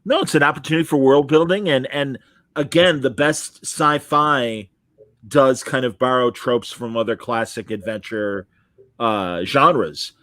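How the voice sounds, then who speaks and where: Male interviewer, mid-pitched, dry room-free sound, chatty and eagerly affirming. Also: a little slow, pushed and bright, dry recording. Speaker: interviewer and host. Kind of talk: casual chat interview.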